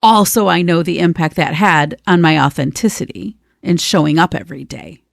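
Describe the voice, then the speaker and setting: A woman's voice: fairly low pitch, moderate pace, vocal fry, no echo, studio quality. A woman co-hosting a conversational personal-growth podcast, speaking in a reassuring, emphatic way and drawing out key words. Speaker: podcast co-host.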